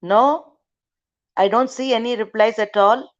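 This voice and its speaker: A woman's voice: a little high, measured pace. A middle-aged woman teaching French students in an online lecture, quizzing them, laughing often and hesitating with fillers. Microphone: video call. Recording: dull lo-fi call audio.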